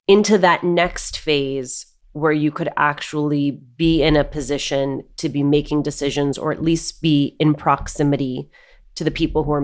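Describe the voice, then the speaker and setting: A woman hosting a podcast, reading a scripted intro and then asking probing questions. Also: deep voice. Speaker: podcast host. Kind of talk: podcast interview.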